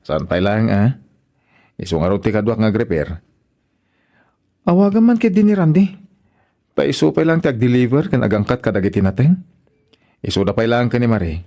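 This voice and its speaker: A man playing an easygoing, hardworking truck driver and husband in a radio drama, with expressive, drawled interjections. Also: mid-range voice, moderate pace, pauses often, close to an everyday voice.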